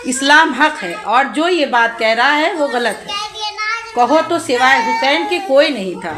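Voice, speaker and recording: A woman orator delivering a Shia religious sermon in a declamatory way, using rhetorical questions and repeated phrases. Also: high voice. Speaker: majlis orator. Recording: live gathering with crowd noise. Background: congregation crowd.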